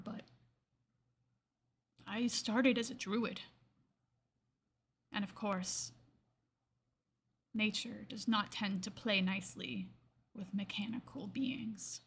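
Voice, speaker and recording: Woman voicing a wistful old lion-folk druid, noisy recording. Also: mid-range voice. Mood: wistful, resigned. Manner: wistful, reflective, slow pauses, hushed trailing phrases. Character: gentle old gray-furred lion-folk druid in metal armor. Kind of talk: character monologue for a tabletop fantasy game.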